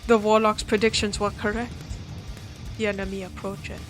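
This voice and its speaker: A woman's voice: mid-range voice, little pitch movement, moderate pace, faint music behind, lightly acted. A woman voicing a composed, formal non-human observer in an audio roleplay, analytical and detached yet curious. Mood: detached, intrigued.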